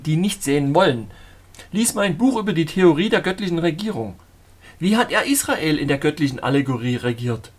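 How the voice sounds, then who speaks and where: A man reading an audiobook voices a fanatical male preacher who rants in a husky, declamatory way. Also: high voice, pitched above the performer's own voice. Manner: shouting, declamatory.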